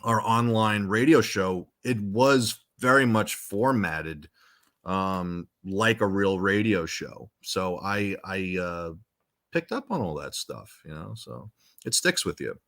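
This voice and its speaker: Deep-voiced man chatting loosely. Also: slow pace. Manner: relaxed, rambling with many restarts and fillers. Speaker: podcast guest, rock singer. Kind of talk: casual chat.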